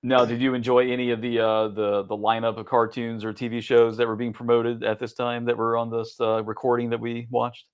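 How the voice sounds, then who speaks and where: A man's voice: medium pitch, completely flat delivery, a little fast, few pauses, smooth. A middle-aged man talking casually as a podcast co-host, recounting things he watched. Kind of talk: casual chat, recounting TV memories.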